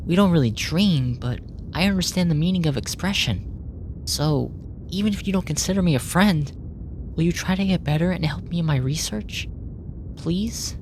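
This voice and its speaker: A woman acting in a sci-fi ASMR roleplay as a polite, clinical alien researcher, speaking in a measured, formal, earnest way. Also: low-pitched, a little mellow, a little hiss or hum. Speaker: audio roleplay voice actor.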